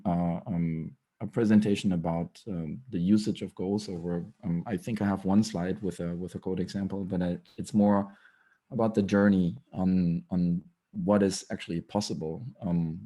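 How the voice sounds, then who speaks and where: Low, mellow, raspy-voiced man presenting. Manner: hesitant, many fillers and restarts; clears throat often. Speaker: guest speaker. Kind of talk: tech meetup talk over video call.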